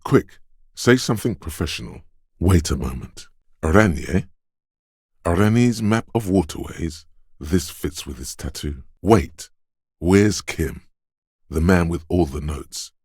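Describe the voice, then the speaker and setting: An actor playing the alert, wry inner voice of a detective's quick reflexes, narrating a video game in a deliberate, knowing way. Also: male voice, sits low, lively intonation, darkish tone, husky, dry recording, studio quality, exaggerated voice. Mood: alert, probing.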